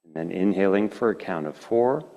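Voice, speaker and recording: A man leading a guided meditation as a teacher, speaking in gentle, slow phrases with long pauses. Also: low voice, animated, gravelly, dull audio. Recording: reverberant hall.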